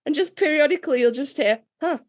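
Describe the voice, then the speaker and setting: Woman, higher-pitched voice, podcast co-host, animated storyteller, casual and joking, sweary. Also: fast.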